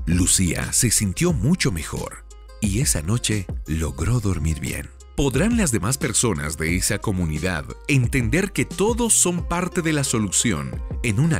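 A man narrates a radio drama. His voice is low and very expressive in pitch, with faint music underneath.

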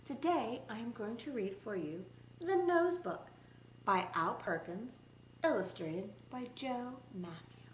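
A middle-aged woman reads a picture book aloud for children's story time, expressively and deliberately, drawing out her words. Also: fairly high pitch, animated. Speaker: special education teacher reading a picture book aloud. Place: small indoor room set up as a classroom. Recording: roomy echo, background room noise.

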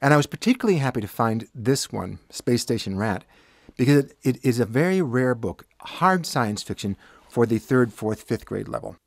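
A man's voice: medium pitch, expressive, normal speed, gravelly, no room sound. An older man speaking casually in an interview as an audiobook director, recorded in a small studio.

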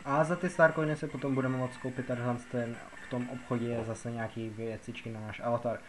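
Guy from czech republic doing a casual gaming walkthrough, rambly and repetitive, medium pitch, clear music behind him. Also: steady tone. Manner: rambling, repetitive explaining with filler words. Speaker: gaming video narrator. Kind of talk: casual game tutorial commentary. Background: background music.

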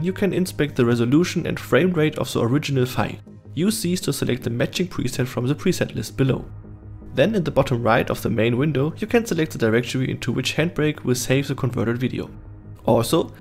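A man's voice: mid-range voice, vocal fry. A man reading scripted step-by-step instructional narration as a tutorial host. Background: background music.

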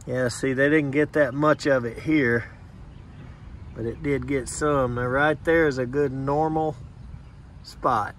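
A man working as an HVAC technician, giving a plain, unhurried on-site explanation outdoors beside air conditioner units, recorded on a handheld phone camera. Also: medium pitch, fairly even intonation, slight background noise. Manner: plain, unhurried, points things out step by step.

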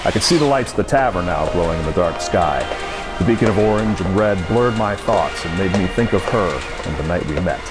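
A man playing a brooding, lovelorn noir hero who narrates his own doom in a grave, measured old-time radio suspense style. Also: sits low, expressive. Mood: ominous, brooding. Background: music and storm sound effects.

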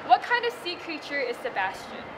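A woman's voice: high-pitched, big pitch swings, fairly quick, very noisy. A teenage girl hosting a quiz segment outdoors at school, cheerful and bubbly. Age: teens.

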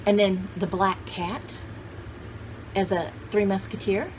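A woman's voice: mid-range voice, fairly even intonation, darkish tone, slight background noise, low-quality recording. An older woman in her sixties chatting casually and thinking aloud as she hosts a home show-and-tell video, recorded on a camera in a small room. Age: older, about 60s. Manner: unhurried, conversational, thinking aloud. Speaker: vintage haul video host. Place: small indoor room with cluttered shelves.

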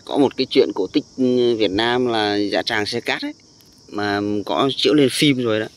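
A man speaking as a vlogger, casually explaining things in an informal, halting way while outdoors. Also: mid-range voice. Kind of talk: casual narration of a nature vlog. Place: outdoors on a sandy tidal beach.

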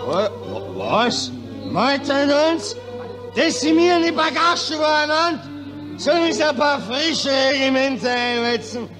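An actor in a 1940s radio drama playing a pompous, befuddled, tipsy Austrian general, stammering and repeating himself with an Austrian accent. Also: male voice, very high-pitched, very animated, measured pace, exaggerated voice. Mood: flustered. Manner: stammering, repetitive, blustering. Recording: old 1940s radio recording, music behind.

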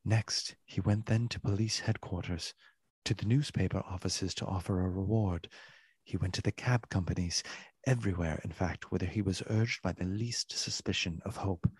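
A man narrating a literary radio play in a solemn, measured, dramatic way, with a husky voice. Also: low-pitched, fairly even intonation, darkish tone, no room sound. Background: music.

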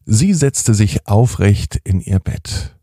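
Slow gravelly man telling a bedtime story. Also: fairly low pitch, no room sound, clean studio sound. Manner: slow, soothing, gently animated storytelling. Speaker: children's podcast host and storyteller.

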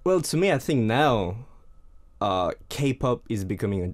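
A young man from France hosting a casual radio interview in a studio, speaking lively with frequent laughs. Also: medium pitch, unhurried. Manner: lively, laughs often, repeats words. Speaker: radio host. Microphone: studio mic on a stand.

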